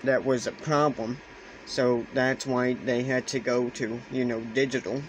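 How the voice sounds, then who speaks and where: A man with a mid-pitched voice walks through a device in a casual, halting manner. He speaks slowly and often repeats himself. The handheld recording carries clear background noise. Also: fairly even intonation, poor audio. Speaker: hobbyist presenter. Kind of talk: casual explainer demonstration. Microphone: handheld camera or phone.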